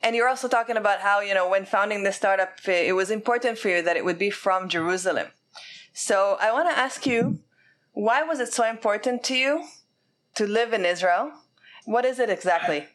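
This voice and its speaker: A woman hosting an interview, measured and reflective as she sums up her guest's story and asks questions. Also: mid-range voice, fairly bright tone. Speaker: interviewer, host.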